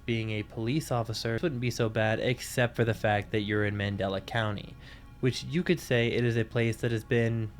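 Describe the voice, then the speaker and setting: A young man hosting a video essay, narrating from a script in a casual, wry way with offhand jokes and the odd swear. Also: lowish voice. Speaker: YouTube commentary host.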